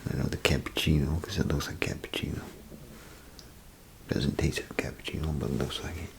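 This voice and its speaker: A man playing a chatty, folksy bathroom attendant in a soft ASMR role play, speaking in a gravelly, breathy half-whisper with rambling, hesitant phrasing. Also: very deep voice, steady tone, fairly quick. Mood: chatty, explaining. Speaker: ASMR performer. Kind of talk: ASMR role play, softly spoken to the listener. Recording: close ASMR recording, clear background noise.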